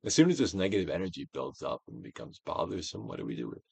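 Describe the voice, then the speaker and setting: Guy doing a theatrical audiobook read of self-help stuff, super animated pitch, big pauses, goes hushed then loud, dry recording. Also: creaky, slightly rough, no echo. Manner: theatrical, emphatic, swinging from hushed near-whispers to loud projection. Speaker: audiobook reader, actor. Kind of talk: dramatic reading of a self-help book.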